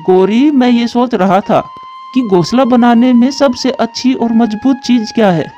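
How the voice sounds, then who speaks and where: A male actor as a calm, measured bird in a children's cartoon. His voice sits high for a man, and he explains things evenly over faint music. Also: muffled recording. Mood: calm, friendly. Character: calm male crow husband and stately eagle king. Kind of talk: Hindi children's cartoon dialogue. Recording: music behind the voice.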